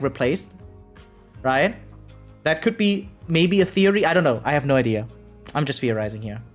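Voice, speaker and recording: Streamer, 30s: mid-range voice, loose chatty live commentary that rambles, soft music underneath. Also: medium pitch. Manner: rambling, keeps adding "right?". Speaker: variety game streamer. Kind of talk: casual live reaction commentary. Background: faint music.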